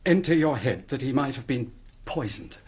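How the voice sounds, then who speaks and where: An actor playing an anxious, secretive gentleman in a radio drama, confiding urgently in a rough, husky voice. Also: medium pitch, animated, moderate pace. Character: anxious, secretive middle-aged wealthy gentleman.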